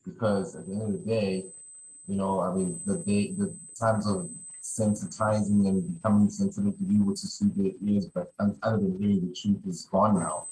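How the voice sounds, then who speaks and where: A man's voice: low voice, level tone, moderate pace, a little mellow, low-quality recording. A man hosting a podcast in casual conversation, rambling and often repeating his phrases. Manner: rambling, repeats phrases, many "you know". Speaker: podcast host.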